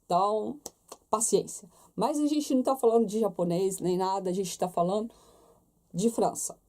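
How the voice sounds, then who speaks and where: A woman's voice: mid-range voice, normal speed, dark tone. A young Brazilian woman with a Brazilian Portuguese accent, chatting casually to her viewers and checking in with them often. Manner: chatty, talks straight to viewers with many tag questions. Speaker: vlogger. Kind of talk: casual chat to camera.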